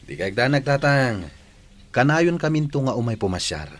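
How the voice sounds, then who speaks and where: An actor playing a domineering, suspicious young husband in a radio drama, with a demanding way of talking. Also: male voice, medium pitch, very animated, moderate pace. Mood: reassuring. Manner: demanding, accusing.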